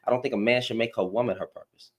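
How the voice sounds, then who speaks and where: A man's voice: medium pitch, fairly even intonation, rapid-fire, breathy edge. Houston man hosting a casual panel. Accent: Southern US (Houston). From Houston, Texas. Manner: rambling, repeats words, slangy. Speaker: live panel host. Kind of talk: casual live panel discussion.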